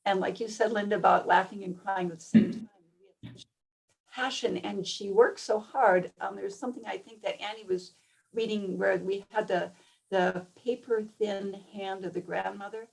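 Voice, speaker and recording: A woman from Minnesota giving a heartfelt personal reflection as a panelist, in a creaky, husky voice. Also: mid-range voice, steady tone, normal speed, low-quality recording. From Minnesota, United States. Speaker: writer, panelist.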